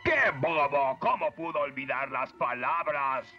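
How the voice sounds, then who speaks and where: A man playing a bad-tempered, scheming sorcerer villain in a dubbed cartoon, with a gravelly, menacing, theatrical delivery. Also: a little high, lively intonation, unhurried, highs cut off, faint music behind. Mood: scornful, commanding. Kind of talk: cartoon dub acting.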